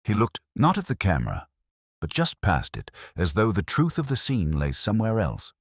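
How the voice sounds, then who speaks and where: A man narrating a first-person detective mystery in a measured, literary, brooding manner. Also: lowish voice, wildly swooping pitch, slightly rough, dry recording, studio quality.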